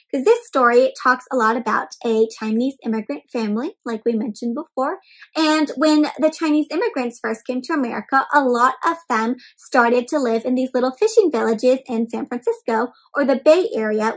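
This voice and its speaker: A young woman hosting an interactive read-aloud for small children, expressive and full of questions addressed straight to her listeners. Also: fairly high pitch, rough recording. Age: young adult.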